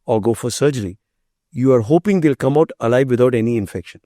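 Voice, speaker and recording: Earnest Indian man, mellow voice. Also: medium pitch, animated, fast talker, no echo, clean studio sound. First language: Tamil. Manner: earnest, emphatic, speech-like. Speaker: biotech company CEO, guest. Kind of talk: conversational interview.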